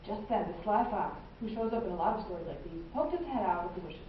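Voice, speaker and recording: A woman's voice: medium pitch, animated, quick pace. A young adult woman telling fairy tales as a student narrator in readers' theatre. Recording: echoey hall, distant mic, muffled, faint noise.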